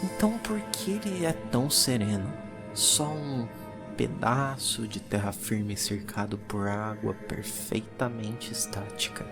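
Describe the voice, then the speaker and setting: A weary occult explorer of the 1850s , acted by a man recounts his journal in a grave Brazilian voice of medium pitch. He pauses slowly, haunted and awed, over clearly audible music in an audio horror drama. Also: close to an everyday voice. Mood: hushed awe.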